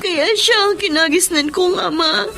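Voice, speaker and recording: A high-voiced, emotional young Filipina daughter. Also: female voice, normal speed, gravelly, over music. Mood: solemn, emotional. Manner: emotional, earnest, pleading. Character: devoted, distressed young adult daughter of an ailing stepfather. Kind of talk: Filipino melodrama acting.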